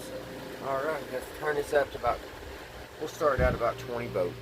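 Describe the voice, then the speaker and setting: A man's voice: medium pitch, normal speed. A man casually narrating hands-on repair work to his viewers, thinking aloud in a folksy way. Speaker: host of a radio repair channel. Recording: loud steady background hum and room noise.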